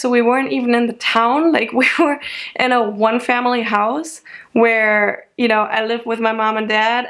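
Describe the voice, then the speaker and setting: A woman's voice: a little high, a bit bright. A young German woman chatting casually to camera, rambling and laughing often. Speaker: vlogger.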